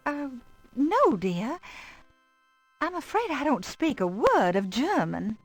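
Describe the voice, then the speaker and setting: A gentle old grandmother from a nineties adventure game, fond and wistful. Her aged voice swings widely in pitch as she confides, and music plays softly behind her. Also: slow pace, no echo, exaggerated voice. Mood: kindly. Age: older. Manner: fond, expressive storytelling. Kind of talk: voice acting in a 1990s adventure game.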